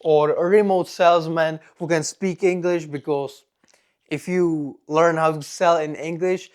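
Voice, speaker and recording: A young man from the Czech Republic, a native Czech speaker with a strong Czech accent, gives a personal vlog monologue in deliberate, simple non-native phrasing. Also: a little high, fairly even intonation. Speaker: vlogger.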